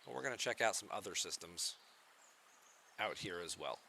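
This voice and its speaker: A man chatting casually on a live game stream, answering viewers in a relaxed, soft-spoken way at a home computer mic. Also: normal speed, gravelly, slight background noise. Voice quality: soft-spoken, low volume.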